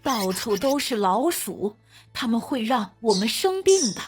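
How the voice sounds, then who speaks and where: A woman's voice: fairly high pitch, big pitch swings, a little slow, soft background music. A woman playing a worried townswoman in a children's storybook. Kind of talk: storybook dialogue.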